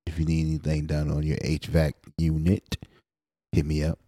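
A man's voice: very deep voice, monotone, moderate pace, dark tone, no echo, studio quality. A man in his late twenties, co-hosting a podcast in a laid-back, slangy, teasing way. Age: 20s. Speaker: podcast co-host. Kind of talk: casual chat.

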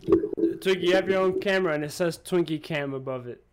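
A man's voice: fairly high pitch, brisk, heavy background noise. A man co-hosting a casual podcast, rambling and swearing freely in loose chat. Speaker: podcast co-host.

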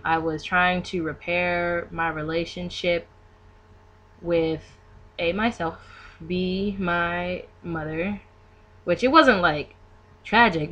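Lady guest on a podcast, lowish bright voice, informal chat, thinks out loud. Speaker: podcast guest, beauty business owner. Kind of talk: casual conversational chat.

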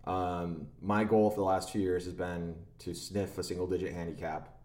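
A man co-hosting a podcast in casual chat, laid-back and a little halting, recorded in a roomy, echoey space. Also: low-pitched, little pitch movement, a little mellow. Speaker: podcast co-host. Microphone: own podcast microphone.

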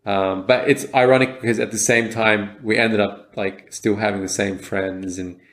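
A man's voice: lowish voice, fairly even intonation, normal speed, fairly bright tone. A young man co-hosting a podcast, speaking in a reflective, casual way with frequent fillers and restarts. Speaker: podcast co-host. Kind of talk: casual chat.

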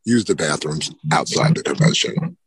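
Husky low-voiced man giving tips. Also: normal speed. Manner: relaxed, gives practical tips with asides. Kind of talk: casual advice chat.

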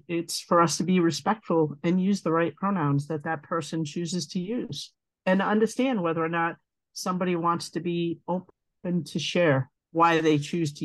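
A woman with a deep voice, a guest in an interview, speaking earnestly and thoughtfully in long winding sentences. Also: no room sound. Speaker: guest, advocate. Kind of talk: reflective interview conversation.

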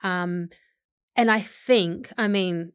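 A woman with an Australian accent, an actor chatting casually in an interview, self-deprecating and quick to laugh. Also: fairly low pitch, clean tone, no room sound, clean studio sound.

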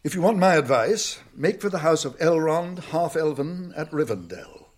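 Husky old British wizard, stern. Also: male voice, fairly high pitch, expressive. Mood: advising. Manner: authoritative, deliberate, brisk questioning. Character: old wizard, stern, shrewd, commanding yet kindly. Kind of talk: British radio drama acting.

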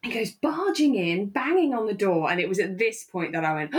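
A woman's voice: medium pitch, very animated, normal speed. A young woman co-hosting a podcast, casually recapping a drama in an emotive, exclaiming way. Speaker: podcast co-host.

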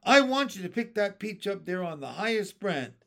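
A man's voice: sits high, sing-song, normal speed, creaky, fairly bright tone, pitched above the performer's own voice, full character voice. A man voicing a fat, greedy old aunt with a pompous, fussy manner. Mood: scheming.

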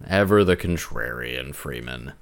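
A man's voice: extremely low pitch, animated, measured pace. A man co-hosting a podcast in casual banter about video games. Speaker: podcast co-host.

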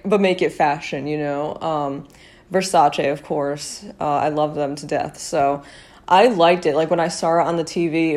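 Congested young woman, low voice. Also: darkish tone. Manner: chatty, lots of fillers, swears casually. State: congested, nasal. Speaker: podcast host. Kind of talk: casual fashion commentary.

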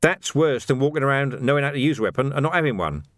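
A gruff veteran police sergeant , acted out by a male narrator, blunt and streetwise, taunting his recruits in an audiobook story. His delivery swings freely up and down, in a close, dry recording. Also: mid-range voice, animated, quick pace, a bit bright, no echo, voice pushed up, close to an everyday voice. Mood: stern, challenging.

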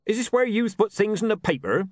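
A man's voice: high voice, expressive, a little fast, no room sound, clean studio sound, pitched above the performer's own voice. A man doing a cheeky little carrot grower. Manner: chuckling, cheeky.

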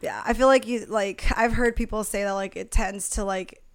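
A woman's voice: mid-range voice, steady tone, moderate pace. A young woman in casual podcast conversation, candid and slangy with some casual swearing. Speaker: podcast co-host.

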